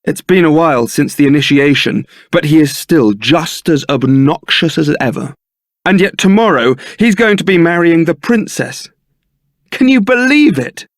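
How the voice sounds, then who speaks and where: A man's voice: on the high side, expressive, normal speed, dry recording. A man playing a suave, sardonic young templar knight and roguish ladies' man in a fantasy video game, with a dry, theatrical delivery. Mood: indignant.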